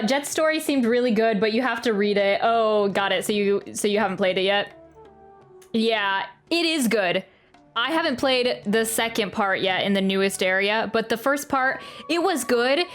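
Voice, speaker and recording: A woman livestreamer giving a casual reaction to a video game, chatty and halting as she thinks aloud. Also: a little high. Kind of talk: casual live reaction commentary. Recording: game music under the voice.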